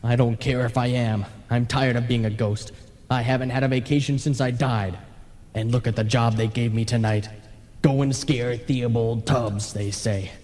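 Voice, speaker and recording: A weary, grumbling male ghost, played by a man in a radio play, fed up with haunting. The voice is soft-edged and mellow, sardonic and put-upon, washed in long reverb on a broadcast tape. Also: mid-range voice, steady tone, moderate pace, lightly acted. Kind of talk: old-time radio play acting.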